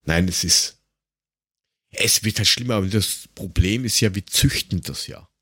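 A man's voice: low-pitched, moderate pace, fairly bright tone, husky, no room sound, clean studio sound. An Austrian man chatting casually as a podcast co-host, rambling and grumbling in a sarcastic, coarse-mouthed way.

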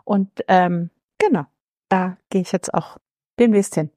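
A woman from Berlin, a politician guesting on a podcast, speaking casually and warmly with many fillers. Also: lowish voice, measured pace, no echo, clean studio sound. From Berlin, Germany. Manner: friendly, many fillers and hesitations. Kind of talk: casual conversation.

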